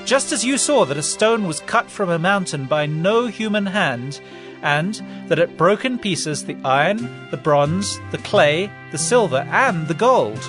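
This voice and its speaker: An actor playing a young male prophet who solemnly interprets a king's dream in a measured, declamatory way, in a scripture audio drama. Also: high voice, lively intonation. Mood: solemn, earnest. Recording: music under the voice.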